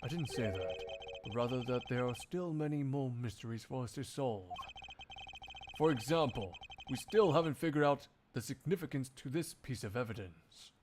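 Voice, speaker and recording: A man voicing a composed, erudite prosecutor in a video game fan dub, speaking in formal, measured, dramatic phrases. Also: medium pitch, expressive, noisy background, close to an everyday voice. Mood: composed, knowing.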